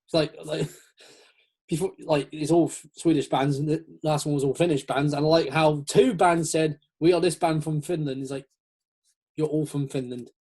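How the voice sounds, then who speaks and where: A man's voice: a little high, steady tone, moderate pace, darkish tone, slightly rough. A man in a casual, rambling, jokey chat, acting out little quotes as he goes. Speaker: host of a metal chat show.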